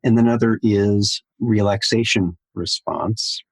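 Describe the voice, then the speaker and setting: A man with a very low voice hosts a podcast interview, speaking in a measured and appreciative way. He is recorded close to the mic with a dry sound. He introduces his guest and sets up the discussion. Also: vocal fry, breathy edge, no room sound, rough recording. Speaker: podcast host.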